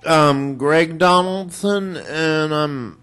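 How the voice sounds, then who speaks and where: A man in his forties from Washington, DC, with a smooth, clear voice pitched a little high. He speaks very slowly and thoughtfully. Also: a bit bright. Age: 40s. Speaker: conference attendee. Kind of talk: self-introduction.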